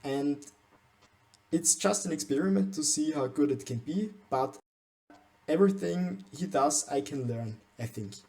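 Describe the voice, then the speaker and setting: A man's voice: fairly high pitch, lively intonation, unhurried, mellow. A young man from Austria, with German as his first language, speaking English with an Austrian German accent as he talks through a game base tour, hesitant and often restarting his words. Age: young adult. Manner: hesitant, frequent restarts and stumbles. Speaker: gaming channel host.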